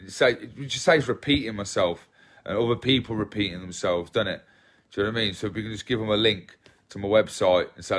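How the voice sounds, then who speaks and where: A man in his thirties from England, hosting a casual livestream from his phone at home, soft-spoken and rambling, often repeating himself as he reads comments. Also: fairly low pitch. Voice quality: relaxed voice. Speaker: livestream host. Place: small living room at home, indoors. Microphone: phone held at arm's length.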